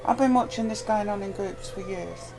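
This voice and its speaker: Creaky-voiced woman, insistent in casual debate. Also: medium pitch, moderate pace, over music, low-quality recording. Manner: insistent, argumentative. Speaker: interviewer in conversation. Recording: faint noise on amateur chat recording.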